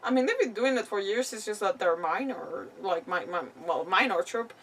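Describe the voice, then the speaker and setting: A woman giving casual, rambling livestream commentary with animated asides as she plays a game. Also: mid-range voice, moderate pace, distant mic, slight background noise. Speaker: game streamer. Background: video game music.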